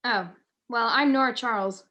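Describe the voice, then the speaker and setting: A young woman playing a wry, jealous, sharp-witted detective's wife in a radio drama, with an arch, teasing manner. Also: a little high, moderate pace, dull audio. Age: young adult.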